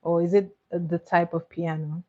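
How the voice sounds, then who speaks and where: A woman with Igbo as her chats easily with her livestream viewers in a lowish voice, giggling and doubling back on her words. The sound is dull and lo-fi, like a home stream with a basic mic. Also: little pitch movement, normal speed, mellow. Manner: chatty, giggly, repeats words. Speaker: livestream host. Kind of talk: casual chat with viewers.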